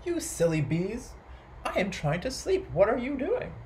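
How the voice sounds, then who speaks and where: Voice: medium pitch, sing-song, a little slow, darkish tone, slight background noise, voice pushed up. A storyteller voicing a grumpy, sleepy female owl who scolds indignantly in a children's tale. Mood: indignant scolding.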